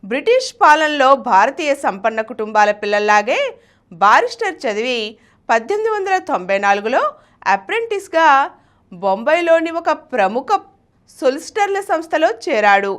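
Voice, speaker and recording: A woman with a Telugu accent presenting scripted biographical narration in an expressive, emphatic storytelling manner. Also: high voice, moderate pace. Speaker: channel presenter reading a biography.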